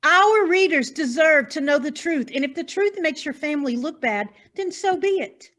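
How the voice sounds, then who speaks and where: Woman playing very high-pitched, melodramatic lady reporter. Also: expressive, fairly bright tone. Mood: confrontational. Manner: melodramatic, deliberate. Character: bold, nosy woman newspaper reporter, melodramatic and determined. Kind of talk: stage farce acting.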